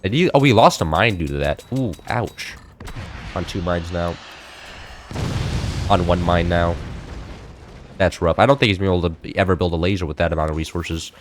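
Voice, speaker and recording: A young man in his late teens chatting loosely while playing a game, rambling with many fillers and restarts, his voice a little high for a man. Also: normal speed, long pauses, a little hiss or hum. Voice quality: slightly high-pitched for a man. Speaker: gameplay streamer. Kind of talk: casual chat while playing.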